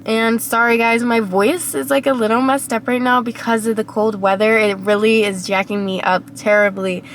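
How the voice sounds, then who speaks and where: A young woman talks to her camera from inside a parked car, her voice hoarse and raspy from a cold. She sits a little high in pitch and rambles in a reflective way, full of fillers. Faint car and street noise hums behind her. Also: little pitch movement, normal speed. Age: young adult. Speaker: vlogger. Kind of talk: casual vlog monologue.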